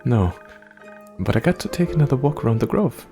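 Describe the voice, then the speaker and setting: Man voicing an excitable, anxious young would-be bard. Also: medium pitch, brisk, gravelly, soft background music, pitched above the performer's own voice. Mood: eager. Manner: effusive, rambling, self-deprecating.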